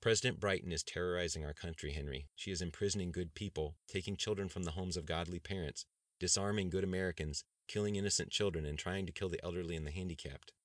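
A man's voice: sits low, quick pace, no echo, studio quality, natural voice. A man reading a novel aloud, voicing an earnest male pastor counseling a governor. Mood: solemn. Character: earnest male pastor, grave spiritual advisor.

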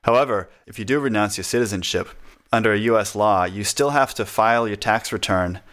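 A man with a very low voice talks in an easygoing, chatty way, often breaking into laughter. He pokes fun at himself as he explains things at a relaxed pace. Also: brisk. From United States. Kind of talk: casual conversation.

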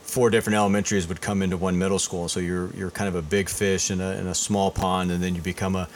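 Deep flat voiced guy from indiana, middle aged, rambles and repeats himself, slight background noise. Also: fast talker. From Indiana, USA. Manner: rambling, repeats words while thinking. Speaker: guest, high school principal. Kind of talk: casual interview.

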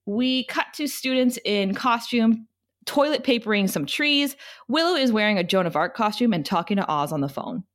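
A woman's voice: on the high side, lively intonation, moderate pace. A woman narrator reading the stage directions of a script at an informal table read.

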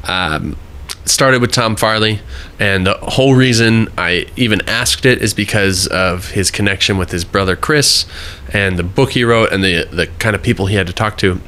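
A man in his thirties hosts a casual interview, talking in a friendly, chatty way with quick asides. His voice sits a little low but has a bright, forceful edge. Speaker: podcast host.

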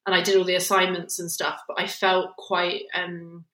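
A woman's voice: lowish voice, flat delivery, normal speed, bright, forceful tone. A young woman talking as a guest in a casual interview, reflective and meandering, a little self-deprecating. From England, near Luton. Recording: roomy echoey recording.

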